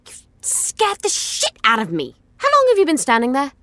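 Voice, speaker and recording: An actress playing a sharp, rattled young student and fiancée in an audio drama, snappy and evasive. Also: female voice, sits high, wildly swooping pitch, normal speed, no room sound, heavily acted. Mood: irritated, defensive. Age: young adult.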